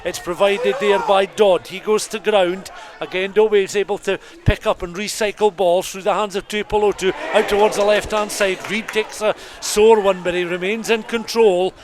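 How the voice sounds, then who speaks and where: A man's voice: sits high, fairly even intonation, moderate pace, hardly any pauses, background noise. A man giving live radio rugby commentary as the play-by-play caller, describing the action in detail as it unfolds. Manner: descriptive, scene-setting, rising with the play. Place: commentary position at a rugby ground.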